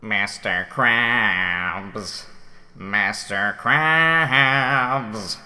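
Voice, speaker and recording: A man voicing the ghost of a gloomy cartoon squid, with an eerie, drawn-out delivery. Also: lowish voice, sharp tone, clean tone, full character voice. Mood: spooky, taunting.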